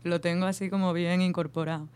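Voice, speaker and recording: Lively woman in a radio chat. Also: lowish voice, fast talker, clean tone.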